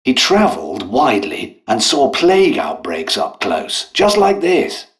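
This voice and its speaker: An animated, courteous British doctor. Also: male voice, mid-range voice, a little slow. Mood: musing, professorial. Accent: British English. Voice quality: refined, well-spoken. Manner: courteous, hesitant, understated, chatty. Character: polite, eccentric British royal doctor and scientist, apologetic. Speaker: video game character voice actor. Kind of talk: video game voice acting.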